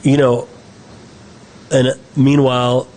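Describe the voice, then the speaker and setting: An American man speaking at an ordinary middle pitch, loose and conversational. He wanders through his answers, restarting sentences and correcting himself as he goes. A relaxed guest on an interview. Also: takes it slowly, rough recording. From United States. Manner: rambling, self-correcting storyteller. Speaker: guest, film director.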